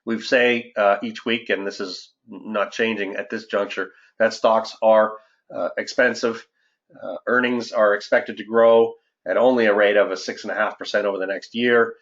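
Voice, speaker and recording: A dull, lo-fi call recording carries a man's fairly low voice. He speaks as an investment adviser hosting a market update, in a measured, halting way that sounds partly prepared. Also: fairly even intonation, fairly bright tone. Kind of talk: semi-scripted financial market commentary. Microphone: conference call line. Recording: dull, lo-fi conference call audio.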